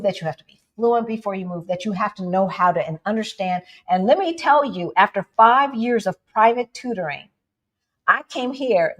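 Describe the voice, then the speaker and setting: A woman from the United States talks casually to her livestream viewers. She is animated and laughs often, with a mid-range voice. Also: mid-range voice. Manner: animated, laughing, addresses audience as y'all. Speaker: livestream co-host.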